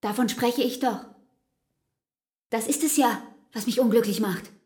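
An actress playing a brooding, anguished woman, devoted and fatalistic, speaking deliberately in a literary radio play. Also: a little high, darkish tone, natural voice. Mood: unhappy. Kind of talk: literary radio drama acting.

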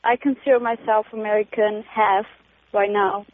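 A woman's voice: mid-range voice, fairly even intonation, normal speed, dry recording. A woman in her twenties with a Romanian accent, calling in to a radio show, speaking earnestly and firmly. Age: 20s. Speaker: caller. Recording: dry, tinny phone line.

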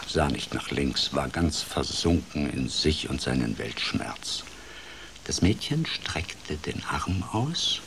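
A man's voice: a bass voice, creaky, background noise, full character voice. An actor playing a playful, wry older grandfather telling a fairy tale expressively in a radio play. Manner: expressive, dramatic, shifts between hushed and lively. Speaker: storyteller.

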